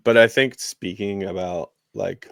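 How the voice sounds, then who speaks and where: A man chatting casually about soccer as a podcast panelist, in a halting, wry way. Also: sits low, vocal fry, a bit bright, no echo, studio quality.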